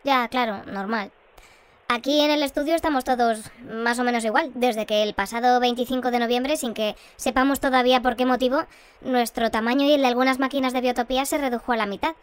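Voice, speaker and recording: A woman playing a composed, matter-of-fact radio host shrunk to half size, in a scripted radio drama, with a pitched-up voice. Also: steady tone, fairly quick, clean tone. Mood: composed, inquiring. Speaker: radio presenter. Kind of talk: scripted fictional radio bulletin and interview.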